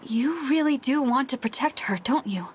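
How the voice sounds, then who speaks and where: A woman voicing an earnest, kind young heroine and leader in a video game, sincere and gentle. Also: sits high, expressive, moderate pace, a little hiss or hum. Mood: concerned. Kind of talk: video game cutscene voice acting.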